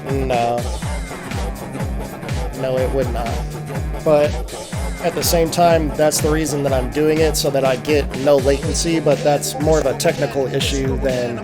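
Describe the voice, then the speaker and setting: A man of ordinary pitch talks through a livestream of beat critiques in a loose, unhurried way, often pausing on small fillers. Hip hop beats play clearly underneath him. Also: loud music behind the voice. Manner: relaxed, many fillers and restarts. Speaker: music producer hosting a beat critique livestream. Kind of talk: casual livestream commentary. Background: submitted beats playing.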